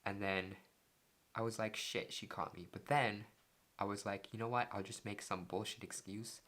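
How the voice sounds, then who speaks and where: A man's voice: on the low side, steady tone, moderate pace, bright, forceful tone. A young man telling a story in a casual, joking way and reading text messages aloud, with lots of "like" fillers. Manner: loose and jokey, many "like" fillers. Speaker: vlogger.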